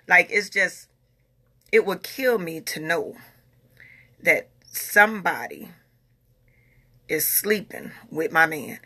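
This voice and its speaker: A woman's voice: on the low side, vocal fry, bright, forceful tone, husky. A woman in her forties talking casually to her followers in a vlog, earnest and repeating herself as she makes her point. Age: 40s. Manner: earnest, repeats herself, talks in a Southern US dialect. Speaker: vlogger.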